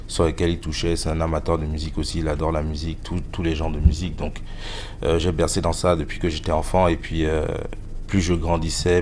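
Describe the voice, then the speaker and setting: Man, deep voice, monotone, halting, filler-heavy interview answers. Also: a little hiss or hum. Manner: hesitant, many fillers. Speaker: musician guest.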